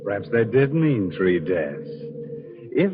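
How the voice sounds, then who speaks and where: A man's voice: fairly low pitch, big pitch swings, slow pace. A man hosting a 1940s radio horror show, narrating in a sly, mock-ominous way. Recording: dull old radio recording. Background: music.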